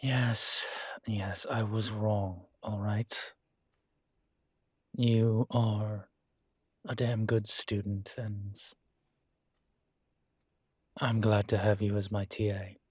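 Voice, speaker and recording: A man acts out a weary male incubus who teaches college, gruff yet fond beneath it. His voice is low-pitched and husky, recorded dry and close in an intimate audio roleplay. He talks in halting, candid phrases broken by sighs. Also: little pitch movement, no room sound, exaggerated voice. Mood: sincere, admitting. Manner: slow, halting, sighing, candid.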